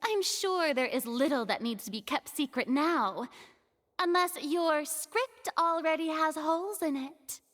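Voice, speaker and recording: A woman's voice: high voice, animated, a little slow, a bit bright. A woman voicing a vain, theatrical young noble who speaks with grandiose, showy courtroom flourish in a game cutscene.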